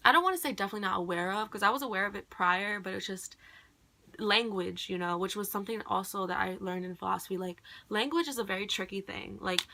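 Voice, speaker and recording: Young college girl, first language khmer, bright voice, rambly, lots of likes and ums. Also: medium pitch, quick pace, gravelly. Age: young adult. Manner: rambling, heavy on "like" and "um". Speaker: college student vlogger. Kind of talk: casual rambling monologue.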